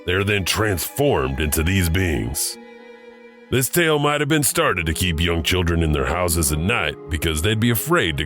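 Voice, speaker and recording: A man hosts and narrates legends in a scripted, musing storytelling style. His voice is very deep yet lively. Faint music runs underneath. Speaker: narrator and host.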